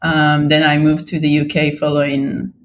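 A woman from Israel, a guest artist answering interview questions in a thoughtful, hesitant way, with many pauses and ums. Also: sits low, completely flat delivery, a little hiss or hum.

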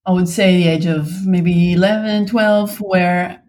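A woman from Israel, a guest artist answering interview questions in a thoughtful, hesitant way, with many pauses and ums. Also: lowish voice, flat delivery, darkish tone, slight background noise.

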